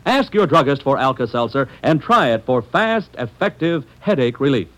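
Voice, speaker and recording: Lively male old-time radio pitchman. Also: moderate pace. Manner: polished, persuasive, addressing listeners as friends. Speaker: radio announcer.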